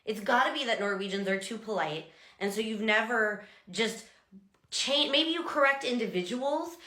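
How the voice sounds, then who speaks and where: A woman's voice: medium pitch, pushed and bright, echoey room. A chatty American woman and language teacher, explaining things casually and exaggerating sounds to demonstrate them. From United States. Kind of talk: casual talking-head explainer.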